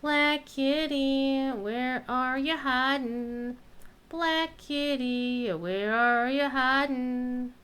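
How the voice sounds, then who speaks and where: A woman hosts a casual gameplay stream. Her voice is bright and crisp, and she takes her time, talking half to herself and half to the people watching. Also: sits high, fairly even intonation, drawn-out words. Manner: talks to herself and viewers. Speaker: game streamer. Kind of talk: casual live gameplay chat.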